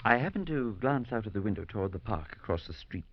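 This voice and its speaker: An actor playing an earnest, kindly male priest who narrates a 1940s radio drama in a measured storytelling manner. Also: on the low side, sing-song, heavy background noise. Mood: narrating. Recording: dull 1940s radio broadcast.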